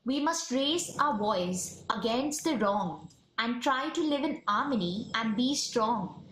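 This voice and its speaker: Woman reciting a poem slowly. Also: fairly high pitch, a bit bright, a little hiss or hum. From India. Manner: measured, rhyming recital. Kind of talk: poetry recitation. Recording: echoey room.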